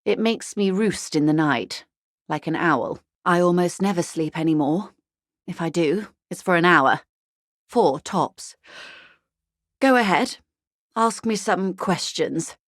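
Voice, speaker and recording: An actress playing an older, shrewd, well-bred corporate negotiator in a video game, speaking with composed courtesy, dry wit and measured diplomatic phrasing. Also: female voice, fairly low pitch, no echo. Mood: wry, composed. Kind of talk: video game character dialogue.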